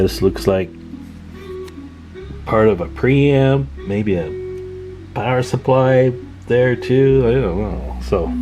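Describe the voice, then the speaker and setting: A man with a low voice talks over clearly audible plucked guitar music. He presents like a hobbyist at the bench, casual and folksy, thinking out loud as he walks through what is in front of him. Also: rough recording. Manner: musing, thinking aloud, folksy. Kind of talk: casual hands-on repair walkthrough.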